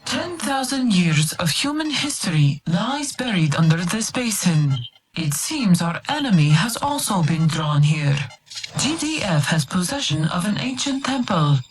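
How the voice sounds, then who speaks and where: A woman's voice: fairly low pitch, big pitch swings, a little slow, a little hiss or hum. A woman playing a composed military operations adviser, giving formal, precise mission briefings in a video game. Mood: grave.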